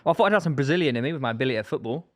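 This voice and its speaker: Young podcast co-host, quick teasing banter, dry mic. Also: male voice, mid-range voice, no room sound. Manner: quick, teasing, animated storyteller. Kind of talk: casual banter among friends. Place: podcast studio.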